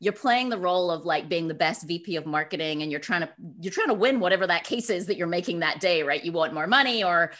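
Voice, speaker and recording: A middle-aged woman giving conversational interview answers as a guest author, animated and given to acting out quotes. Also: on the low side, fast talker, few pauses. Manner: animated, acts out imagined quotes.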